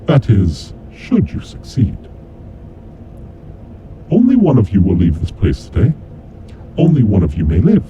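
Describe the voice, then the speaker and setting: A man playing a coldly vengeful kidnapper mastermind in a horror audio drama, announcing deadly rules in a deliberate, formal, taunting way. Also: huge pitch swings. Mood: accusing, vengeful. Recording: dull lo-fi audio with clear rumbling noise.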